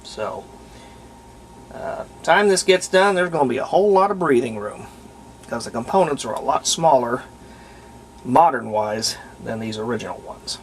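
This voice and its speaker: Casting: male DIY repair host, average pitch, plainspoken and unscripted, recorded with noticeable ambient noise. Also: lively intonation, normal speed. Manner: unscripted, plainspoken, thinking aloud while working. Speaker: host of a radio restoration video. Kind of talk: hands-on repair demonstration, casual narration.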